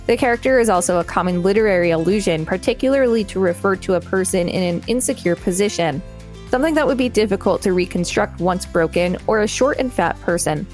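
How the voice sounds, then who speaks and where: A woman's voice: medium pitch, expressive, fast, darkish tone, soft background music. A woman co-hosting a podcast, reading an encyclopedia article aloud with casual commentary. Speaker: podcast co-host.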